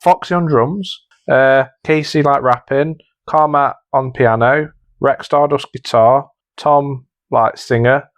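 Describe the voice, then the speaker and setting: A man's voice: mid-range voice, steady tone, takes it slowly, few pauses, dry recording. A man chats casually as a podcast host who judges contestants. He thinks aloud and hesitates as he goes. The sound is very dry, with a mid-range voice. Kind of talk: casual banter.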